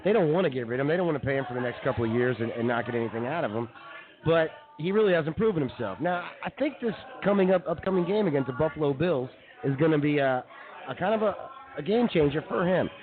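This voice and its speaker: A male co-host who rattles along quickly in a slightly high voice. He talks in informal, slangy radio banter, and the audio is narrow and tinny. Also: lively intonation. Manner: informal, peppers talk with "dude" and "man". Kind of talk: casual sports and comedy banter.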